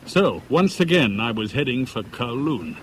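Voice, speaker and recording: Actor as animated, insistent British banker in love. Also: male voice, medium pitch, moderate pace, heavy background noise, natural voice. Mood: wry, reflective. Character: merchant banker, lovestruck, indignant, persistent man narrating his story. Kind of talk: 1970s British radio drama acting and narration.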